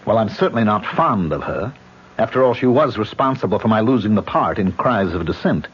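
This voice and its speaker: A man's voice: fairly low pitch, expressive, a little fast, breathy edge. An actor playing a haunted male actor who is defensive and exasperated, in a radio drama. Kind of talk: 1970s radio drama acting. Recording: lo-fi old radio broadcast.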